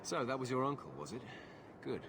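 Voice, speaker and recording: An actor playing a weary, cynical guard at a gate in a video game. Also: male voice, mid-range voice, big pitch swings, brisk, vocal fry, background noise. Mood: dry, cynical. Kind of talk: video game cutscene acting.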